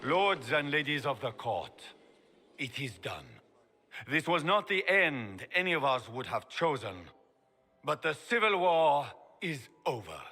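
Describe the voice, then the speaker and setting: A man playing a proud, warlike emperor in a video game, giving grand, pompous, deliberate speeches to his court. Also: a little high, big pitch swings, sharp tone, exaggerated voice. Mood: proud, resolute. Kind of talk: grand ceremonial oratory. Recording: long hall reverb, orchestral game score behind.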